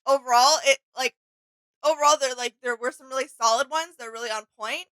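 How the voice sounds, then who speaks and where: Woman co-host doing casual reaction chat, bright clear-cut voice, a little high. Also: a little fast.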